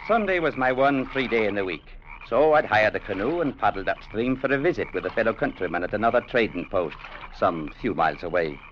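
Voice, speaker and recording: An actor playing a stern, principled adventurer who narrates an old radio drama in a measured, deliberate way. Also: male voice, on the low side, animated, noisy background, lightly acted. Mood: reflective narration. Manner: measured, deliberate, grimly resolute. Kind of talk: 1940s-50s radio drama narration and acting. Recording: muffled old radio recording with music behind.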